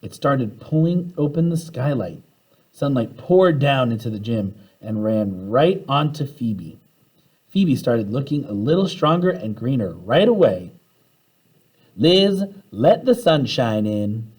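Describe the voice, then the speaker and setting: Husky man reading expressively to children. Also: medium pitch, unhurried, poor audio. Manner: animated, acts out the characters' dialogue. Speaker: teacher reading a children's story aloud. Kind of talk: expressive children's storybook read-aloud.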